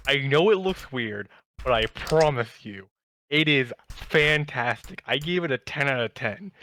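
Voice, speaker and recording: A man's voice: mid-range voice, expressive, measured pace, bright, forceful tone, slight background noise. Enthusiastic rambling man in casual podcast chat. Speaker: podcast co-host. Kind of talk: casual chat about anime.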